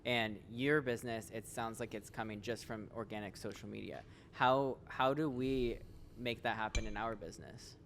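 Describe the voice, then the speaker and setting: A man's voice: lowish voice, fairly even intonation, normal speed, vocal fry, fairly bright tone, slight background noise. A man hosting a business podcast, asking conversational questions and often correcting himself midway. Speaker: podcast host, roofing business owner.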